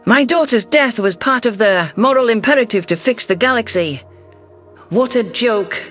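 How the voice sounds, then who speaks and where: A man narrating a science-fiction story in a steady, measured storytelling manner. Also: very high-pitched, faint music behind. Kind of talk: scripted sci-fi audiobook narration.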